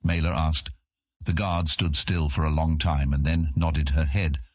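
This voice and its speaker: A man with a husky voice reading scripted fantasy narration as an audiobook narrator. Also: a bass voice, level tone, a little slow, no room sound, studio quality.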